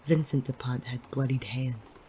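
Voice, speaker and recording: An actor playing a gruff, wry, smitten male private detective in a noir audio drama, with teasing, plain-spoken tough-guy phrasing. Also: mid-range voice, normal speed, warm tone, slight background noise, close to an everyday voice, rough recording. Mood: tender, brooding. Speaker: narrator and lead character. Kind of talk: noir audio drama, first-person narration and banter.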